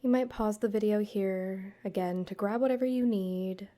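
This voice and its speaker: A woman guiding a meditation, speaking to the listener in a soothing, measured way with deliberate pauses. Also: mid-range voice, mellow. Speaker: guided meditation host, counselor and yoga teacher. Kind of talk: gentle guided meditation narration, addressed to the listener.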